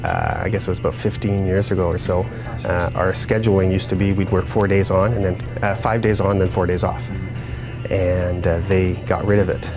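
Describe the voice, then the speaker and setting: Low-voiced man explaining things casually. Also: level tone, moderate pace, music in the background. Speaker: air traffic controller guest. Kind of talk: casual interview answers.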